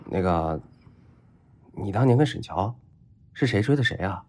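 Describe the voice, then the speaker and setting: A man's voice: low voice, animated, moderate pace, darkish tone, a little hiss or hum. An actor playing a blunt, stubborn, spoiled young rich man in a Chinese audio drama. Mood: eager, brash.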